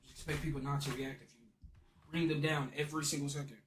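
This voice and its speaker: Brief: young male streamer, eighteen, rapid and bitter, a bit high-pitched, noisy stream capture. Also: level tone. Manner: self-deprecating, frustrated, swearing. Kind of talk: live stream talk to chat.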